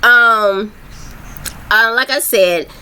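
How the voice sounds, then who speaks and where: A bright, clear-voiced middle-aged woman talks casually to her viewers. She rambles in a loose, friendly way, full of ums and fresh starts. She sounds like a self-esteem coach going live. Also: fairly high pitch, extremely slow. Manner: loose and chatty, many ums and restarts. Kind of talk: casual live video chat.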